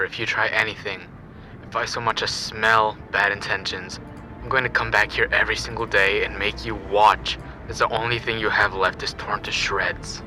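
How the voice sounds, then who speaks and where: A man's voice: fairly low pitch, pushed and bright, rough recording. A man playing a sadistic, coldly amused killer in an audio drama, taunting and menacing in a matter-of-fact way.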